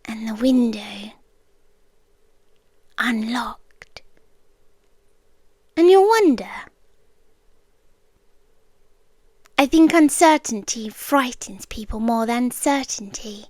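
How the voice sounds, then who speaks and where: A British woman playing a calm, obsessive stalker girlfriend in an intimate ASMR roleplay, hushed and deliberate, often slipping into whispers, tender yet softly menacing. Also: fairly high pitch, no room sound. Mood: coaxing, unsettling, then wistful, menacing. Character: soft-spoken obsessive girlfriend stalker, calm, coolly intense, yandere.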